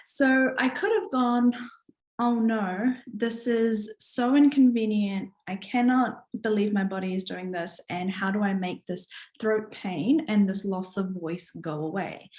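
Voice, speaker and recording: In a roomy, echoing space, a woman speaks at a medium pitch with a strained, partly lost voice. She talks like a coach on a live stream, chatty and encouraging, addressing her followers casually. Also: darkish tone. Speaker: coach hosting a live online challenge.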